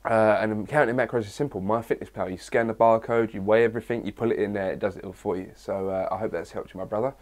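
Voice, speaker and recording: Hoarse young man with a cold. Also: fairly low pitch, steady tone, fast, hardly any pauses, a little mellow. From England. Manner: chatty, rambling, reads questions aloud. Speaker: fitness vlogger. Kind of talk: casual Q&A vlog.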